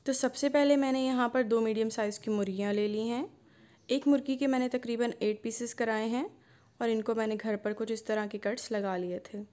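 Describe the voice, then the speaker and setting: A woman narrates a home cooking video in a calm, instructive voice. Her voice sits a little high, and she walks through each step patiently. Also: a little fast. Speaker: cooking channel host. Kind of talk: recipe voice-over narration.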